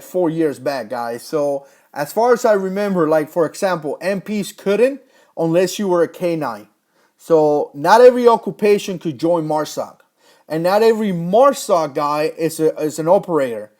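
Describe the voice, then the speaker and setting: A man in his thirties, born in Cuba, chatting casually on a livestream as a former Marine, rambling and correcting himself as he reads viewer comments. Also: a little high. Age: 30s. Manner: rambling, self-correcting, storytelling.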